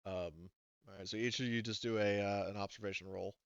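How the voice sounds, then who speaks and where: Deep-voiced man running a tabletop game. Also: fairly even intonation, a bit bright, clean tone, dry recording, highs cut off. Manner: halting, thinking aloud while adjudicating rules. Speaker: game master.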